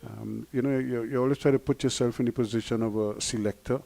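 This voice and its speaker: A man commentating live cricket in a measured, analytical way, his accent a variation of Guyanese. His voice is mellow and dark-toned, and he breaks into easy chuckles between points. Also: medium pitch, fairly even intonation, creaky.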